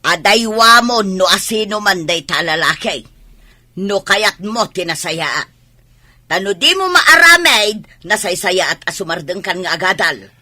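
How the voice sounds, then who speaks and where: A woman's voice: mid-range voice, animated, a little slow, pushed and bright, no room sound, exaggerated voice. Stern, piercing Ilocano mother. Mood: threatening. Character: stern, suspicious mother scolding her student daughter. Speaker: radio drama actor. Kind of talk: Philippine radio drama acting.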